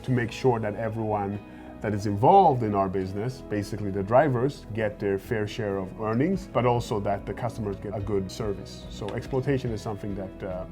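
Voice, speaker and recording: A man in his forties from Sweden, a startup founder speaking at a fireside chat interview, earnest and often pausing on "uh" and repeated words as he thinks. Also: fairly low pitch, music in the background. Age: 40s. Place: event stage at a fireside chat.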